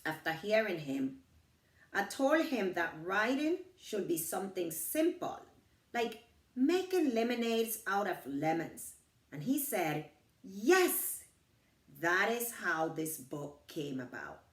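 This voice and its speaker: Slow, expressive middle-aged woman reading, roomy sound. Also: medium pitch. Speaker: author reading her book. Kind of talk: reading her own book aloud, inspirational and personal. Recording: roomy echo, distant from the mic.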